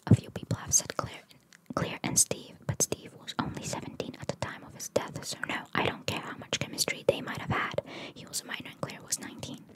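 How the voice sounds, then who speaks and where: A woman speaks entirely in a soft, breathy whisper with no voiced tone. She delivers ASMR in an informal, chatty way, moving between reading aloud and casual asides. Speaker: ASMR creator.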